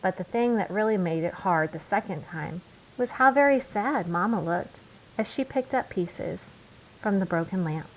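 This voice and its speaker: A woman narrating a children's storybook aloud in a deliberate way, with emphatic pauses. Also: medium pitch, lively intonation, slight background noise, poor audio. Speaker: children's book reader.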